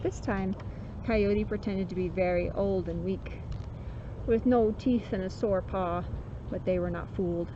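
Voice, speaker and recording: A woman's voice: mid-range voice, steady tone, unhurried. A woman reading a children's story aloud expressively, with dramatic emphasis and casual asides. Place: outdoors in woods. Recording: noisy, dull outdoor recording.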